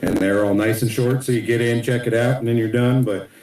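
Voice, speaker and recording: A middle-aged man in casual podcast chat as a guest artist, talking in a relaxed, rambling way. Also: mid-range voice, fairly quick, reverberant, low-quality recording.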